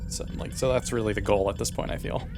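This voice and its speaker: A man's voice: on the low side, fairly even intonation, fast talker, over music. A man talking casually as a player in a tabletop roleplaying game discussion.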